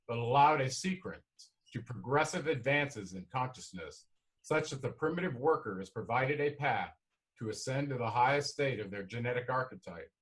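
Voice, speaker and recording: A man's voice: medium pitch, lively intonation, moderate pace, fairly bright tone, close to an everyday voice, rough recording. A man playing a lofty, self-assured alien god who lectures in a formal, philosophical manner in a screenplay read-through. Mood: reasoning, lofty.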